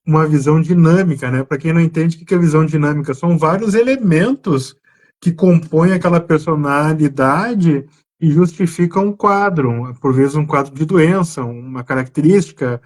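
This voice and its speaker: A man with a gaúcho Brazilian accent and a slightly raised pitch explains things earnestly. He often restarts his sentences as he thinks aloud, speaking over a video call. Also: normal speed, darkish tone. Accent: Brazilian gaúcho Portuguese. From southern Brazil. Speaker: psychiatrist guest. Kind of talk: conversational explanation on a live stream.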